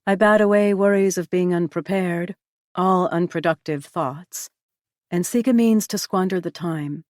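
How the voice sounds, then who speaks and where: A woman with a low voice reads a novel aloud in the first person, her delivery measured and even. The sound is close and dry, with no room around it. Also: dry recording. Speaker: audiobook narrator.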